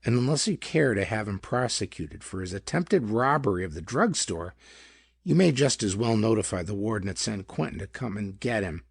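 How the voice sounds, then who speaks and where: A man's voice: on the low side, expressive, normal speed, vocal fry, slightly rough, dry recording, studio quality, full character voice. A man voicing a chubby, superior male detective in a pulp audiobook, with formal, pedantic, emphatic phrasing. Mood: precise, dismissive.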